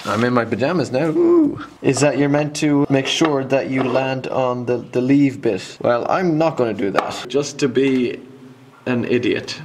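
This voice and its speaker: A man's voice: mid-range voice, expressive, a little slow, darkish tone, slight background noise. A man in his twenties with an Irish accent, talking to camera in a casual, self-mocking adventure vlog. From Ireland. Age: 20s. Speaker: vlogger.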